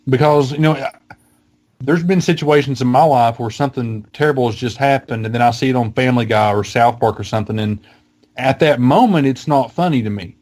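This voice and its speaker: A man's voice: medium pitch, a little mellow. Rambling Southern man co-hosting. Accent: Southern American. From American South. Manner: rambling, hesitant, with fillers. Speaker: podcast co-host. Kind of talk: casual chat.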